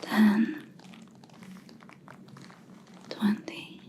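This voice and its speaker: A woman playing a stern, menacing vampire hunter in an ASMR roleplay, speaking in a breathy whisper with deliberate, taunting pauses. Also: dark tone. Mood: probing, taunting. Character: stern female vampire hunter interrogating a captive, menacing, contemptuous.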